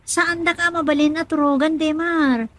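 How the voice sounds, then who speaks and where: An outspoken young woman in a radio drama. Her voice sits high, and she talks like someone easily irked. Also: fairly even intonation, normal speed, a bit bright. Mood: chatty. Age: young adult. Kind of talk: Ilocano radio drama acting.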